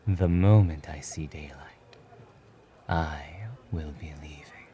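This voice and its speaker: A man voicing a stoic, quietly kind young demon-slaying hero in a soft, breathy romantic ASMR roleplay. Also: a bass voice, slow, slight background noise. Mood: reluctant, calm. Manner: aloof, terse, gently reassuring.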